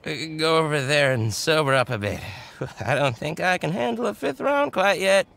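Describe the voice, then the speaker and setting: A man's voice: fairly high pitch, lively intonation, moderate pace, creaky, fairly bright tone. An actor playing a tipsy, friendly young man who rambles through game dialogue with slurred, hesitant delivery. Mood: tipsy, flustered. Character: tipsy friendly male tavern regular, rambling and smitten. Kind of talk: video game character dialogue. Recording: background music under the dialogue.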